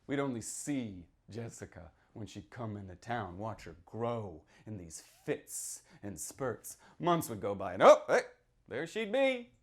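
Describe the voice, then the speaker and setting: A man performing a dramatic reading as a haunted, folksy small-town man who tells his tale in halting, rueful asides. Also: fairly low pitch, very animated. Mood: fervent, conspiratorial. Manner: folksy, halting, rhetorical asides, swings between hush and outburst. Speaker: author reading from his novel. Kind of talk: theatrical dramatic reading, first-person monologue.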